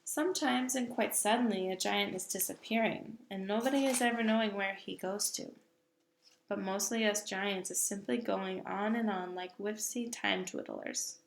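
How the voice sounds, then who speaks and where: A woman voicing a very old, kindly male giant who talks slowly and loudly with jumbled grammar, in an echoey room. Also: animated, creaky, slight background noise. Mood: explaining. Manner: slow booming delivery with jumbled grammar.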